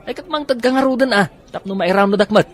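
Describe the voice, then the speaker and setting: A man acting an excitable, joking buddy in a radio drama, with an Ilocano accent. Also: high-pitched, fast, natural voice. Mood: jokey, animated.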